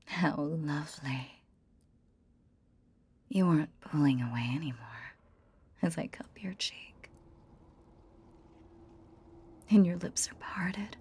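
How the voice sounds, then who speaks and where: Woman acting a very slow, whispering possessive goddess. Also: low voice, warm tone, exaggerated voice. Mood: pleased, commanding. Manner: slow, breathy whispering with long pauses, coaxing. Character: possessive, hypnotic goddess coaxing a captive pet, tender yet commanding. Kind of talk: whispered ASMR roleplay. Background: ambient sound effects.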